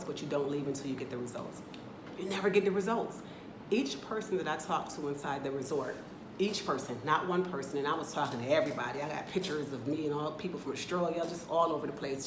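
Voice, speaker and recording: A woman's voice: low voice, roomy sound, background noise. A woman from the Atlanta area with an American accent, chatting candidly in a casual travel vlog from her rental apartment. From United States, Atlanta area. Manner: chatty, candid, talks in loose run-on asides. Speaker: travel vlogger.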